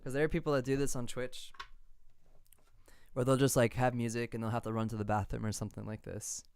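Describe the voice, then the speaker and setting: A man's voice: medium pitch, level tone, fairly quick, gravelly, a little mellow, smooth. A man chatting casually on a livestream while producing music, thinking aloud in an informal way. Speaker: music producer live streaming.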